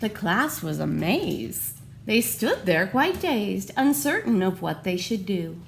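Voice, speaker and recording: A woman reading a picture book aloud to children, with expressive, dramatic and deliberate phrasing. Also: medium pitch, gravelly, slight background noise. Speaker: storybook reader for children. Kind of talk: expressive picture-book read-aloud with questions to young listeners.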